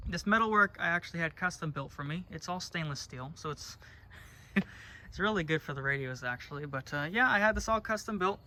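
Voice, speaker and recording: A man's voice: sits high, pushed and bright, slight background noise. A man giving a casual, chatty, enthusiastic show-and-tell as a hobbyist vlogger. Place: in and around a pickup truck.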